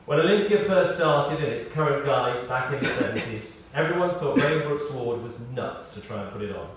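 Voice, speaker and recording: A British man reads a memorial tribute in a large, heavily reverberant space, picked up some way from the microphone. His delivery is measured storytelling with animated rises and falls, pausing and leaning on key words. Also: medium pitch. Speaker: eulogist reading a tribute. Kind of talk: scripted memorial tribute with humorous anecdotes. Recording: very echoey, distant mic, faint noise.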